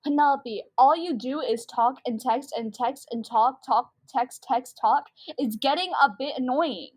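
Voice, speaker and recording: A woman's voice: sits high, measured pace, slightly rough. A girl of about twelve playing an exasperated, scheming schoolgirl who narrates her own confession in a comedic radio drama. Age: child about twelve.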